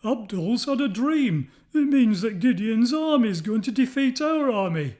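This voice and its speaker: A fearful soldier in a storybook camp, voiced by a male reader. He sounds nervous and high. The delivery is that of someone passing on dreadful news. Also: expressive, normal speed, darkish tone. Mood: frightened. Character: frightened enemy soldier gossiping in camp.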